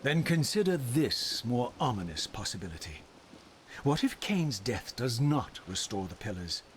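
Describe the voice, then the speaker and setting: An actor playing a tormented male wraith in a dark fantasy game, speaking with grave, formal, coldly scornful eloquence. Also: medium pitch, big pitch swings, slight background noise. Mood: coldly probing. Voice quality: grave, theatrical delivery. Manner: formal, deliberate, archaic eloquence. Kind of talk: dramatic video game voice acting.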